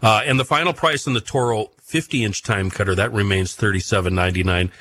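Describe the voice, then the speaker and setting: A man's voice: lowish voice, fairly quick, a bit bright, slight background noise. A man hosting a radio show, delivering a folksy, rambling live sponsor read off the cuff.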